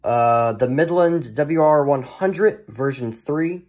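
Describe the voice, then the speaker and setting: Halting man in casual show-and-tell. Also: on the high side, a little mellow. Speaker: hobbyist presenter of a weather radio collection. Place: indoors, in a room at home.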